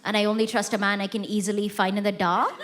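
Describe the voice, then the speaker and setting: A woman's voice: medium pitch, level tone, quick pace, heavy background noise. Woman doing self-mocking stand-up. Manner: self-mocking, conversational punchlines. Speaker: stand-up comedian. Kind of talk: stand-up comedy set. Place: theatre stage before a live audience. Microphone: stage mic.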